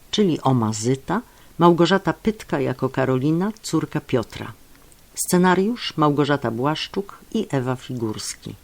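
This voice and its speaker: A man's voice: mid-range voice, gravelly. A man reading scripted closing credits and announcements as a radio announcer.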